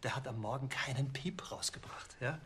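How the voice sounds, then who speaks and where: An actor playing a soothing, ingratiating naturopath treating a patient. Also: male voice, mid-range voice, moderate pace, fairly bright tone, slight background noise, lightly acted. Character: smooth-talking male naturopath.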